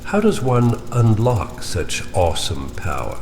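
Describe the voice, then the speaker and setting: An actor playing a menacing, silkily polite starship captain who probes like an interrogator in a sci-fi audio drama. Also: male voice, on the low side, huge pitch swings, a little mellow, a little hiss or hum, heavily acted. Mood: hungry interest. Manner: measured, courteous, probing interrogator.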